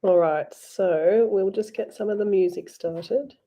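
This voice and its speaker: A woman's voice: mid-range voice, unhurried, dark tone. A woman with an Australian accent and a sore throat, chatting casually to viewers while she crafts on a livestream. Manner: chatty, addresses viewers directly. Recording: faint background music.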